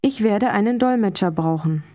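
A woman speaking example sentences slowly and clearly for a language course. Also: medium pitch, animated, warm tone, dry recording, studio quality. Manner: slow, clear model pronunciation. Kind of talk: scripted language drill.

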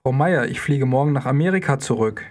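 A man in a language course, repeating phrases slowly and deliberately as a model for learners. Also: medium pitch, expressive. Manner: slow, deliberate model pronunciation. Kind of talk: scripted instructional dialogue.

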